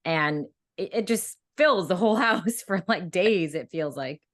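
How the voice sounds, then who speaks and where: Low-voiced chatty woman host. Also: animated, a little slow. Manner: chatty, relating with own stories. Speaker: podcast host. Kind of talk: casual interview conversation.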